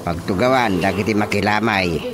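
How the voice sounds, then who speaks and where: A man's voice: fairly low pitch, normal speed, lightly acted. An actor playing a practical village chairman with an Ilocano accent in a radio drama comedy. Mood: matter-of-fact. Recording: music bed behind the voice.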